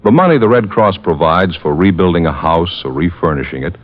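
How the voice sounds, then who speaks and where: Low-voiced American vintage radio announcer, a man. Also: animated, brisk. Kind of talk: scripted 1950s radio announcing. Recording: lo-fi vintage radio, music behind.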